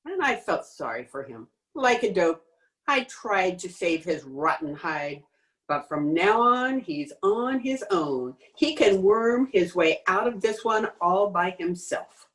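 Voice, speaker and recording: Woman playing a brassy, animated female crook. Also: on the low side, takes it slowly. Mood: scornful. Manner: slangy, sneering, cocky. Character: brassy, defiant female swindler, tough and mocking. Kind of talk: old-time radio crime drama acting. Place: home recording over video call.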